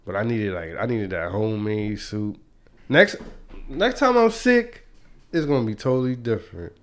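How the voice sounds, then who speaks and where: Congested laid-back podcast host. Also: male voice, lowish voice, animated, moderate pace. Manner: laid-back, slangy, chuckling. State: congested from a cold. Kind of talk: casual podcast chat.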